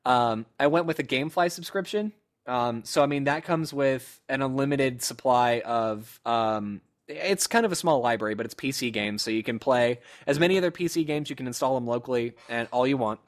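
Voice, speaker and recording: A man's voice: medium pitch, normal speed. A young man co-hosting a casual gaming podcast, rambling and joking with friends, often restarting his sentences. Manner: rambling, self-interrupting, joking. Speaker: podcast co-host. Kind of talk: casual chat among friends.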